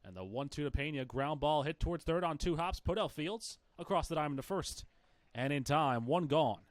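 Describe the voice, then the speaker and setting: A man's voice: on the high side, animated, moderate pace, dry recording. A man calling a baseball game live as play-by-play commentator.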